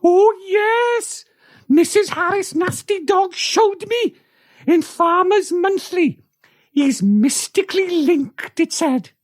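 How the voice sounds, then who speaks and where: A woman playing an excitable, credulous farmer's wife in a comic radio play. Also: very high voice, takes it slowly, no room sound, studio quality, full character voice. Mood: excitable, earnest.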